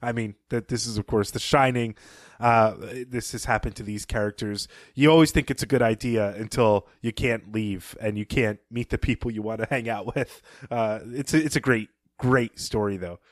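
A lower-pitched man retells a story's plot on a podcast with a measured, thoughtful delivery. His voice sits close to the mic with no room echo, dry and intimate. He breaks into soft laughs now and then. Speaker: podcast co-host. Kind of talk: casual book discussion and plot recap.

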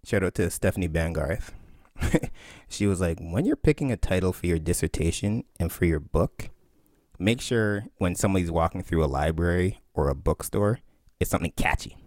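A man in his thirties, an author answering interview questions in an animated way, laughing often and acting out quotes. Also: low voice, normal speed, dry recording, studio quality. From Canada. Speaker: author and historian.

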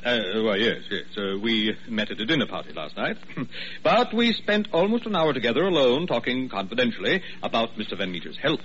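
A man acts out a self-important, fussy medical administrator from old radio drama. His voice is forceful and animated, with pompous phrasing. The sound is dull and faintly hissy. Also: mid-range voice, fairly quick, close to an everyday voice. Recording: muffled, hissy vintage radio recording.

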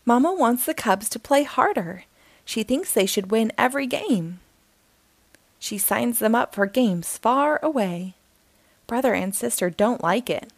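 A woman hosting a storytime, reading a children's picture book aloud slowly and expressively. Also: on the high side, dry recording. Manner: slow, expressive, sing-song reading.

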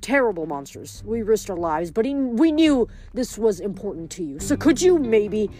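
A woman's voice: mid-range voice, sing-song, moderate pace, mellow. A woman voicing a nervous young man who talks hesitantly and pleads. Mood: pleading.

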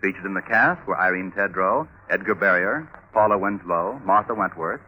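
A man's voice: low voice, normal speed, bright, forceful tone, rough recording. A man announcing scripted credits in a formal 1950s radio style. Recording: old radio recording with faint hiss.

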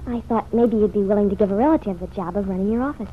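An actress playing a playful, eager young American wife in an old-time radio serial. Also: female voice, medium pitch, very fast, close to an everyday voice. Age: young adult. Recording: muffled, noisy vintage radio recording.

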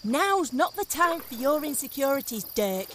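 A woman's voice: high-pitched, sing-song, normal speed, fairly bright tone, slight background noise. A woman playing a small, fierce, coin-loving elf who is blunt, bossy and insulting in a fantasy comedy audio drama. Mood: urgent, exasperated.

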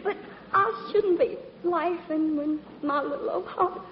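High, sugary scheming southern belle. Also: female voice, a little slow. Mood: coy, gushing. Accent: put-on American Southern. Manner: coy, gushing, theatrical. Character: scheming flirtatious movie actress faking a sugary southern belle. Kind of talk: mid-century American comedy film acting. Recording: echoey, dull old film soundtrack, noisy background.

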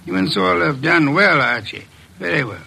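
A middle-aged private investigator from a vintage radio drama, precise and commanding. His voice sits high and bright, with a gravelly, slightly husky edge. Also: animated, moderate pace, full character voice. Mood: measured. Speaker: detective. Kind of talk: 1950s radio drama acting.

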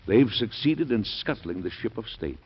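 An actor playing a stubborn, combative older male president in an old radio drama, with a husky voice and blunt manner. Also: lowish voice, sing-song, normal speed. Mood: resigned. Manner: defiant, blunt. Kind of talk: 1940s radio acting. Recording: dull lo-fi old radio recording.

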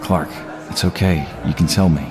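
Man playing a low-voiced, brooding retired vigilante. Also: steady tone, moderate pace, close to an everyday voice. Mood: concerned, friendly. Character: brooding retired male vigilante, haunted billionaire friend. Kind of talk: audio drama acting. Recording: music behind the voice.

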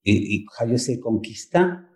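A man from Chile with a Chilean Spanish accent, a native Spanish speaker, speaking as an author in a podcast interview, halting and searching for words. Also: mid-range voice, animated, darkish tone. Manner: halting, searching for words, frequent "you know what I mean". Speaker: author and performer, guest.

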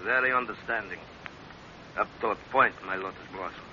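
An actor playing a suave, menacing pirate chief in an old-time radio drama, with courtly, formal phrasing. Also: male voice, fairly low pitch, brisk, sharp tone. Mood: silky, courteous. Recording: dull, hissy old radio recording.

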